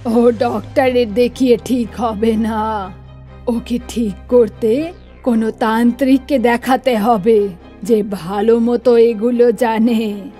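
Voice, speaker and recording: Casting: a worried grandmother for a cartoon ghost tale, measured and emphatic, soft dark tone, fairly high pitch. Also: steady tone, soft background music. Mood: concerned, decisive. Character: concerned, decisive grandmother of a sick boy. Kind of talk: scripted horror cartoon story acting.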